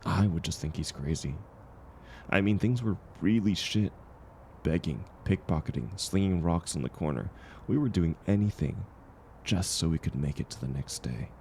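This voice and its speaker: Casting: low-voiced, mellow drifter, acted by a man, world-weary but kind, gently encouraging in an intimate comfort roleplay; faint noise behind. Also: expressive. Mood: reflective, somber. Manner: gentle, encouraging, unhurried, chuckling often.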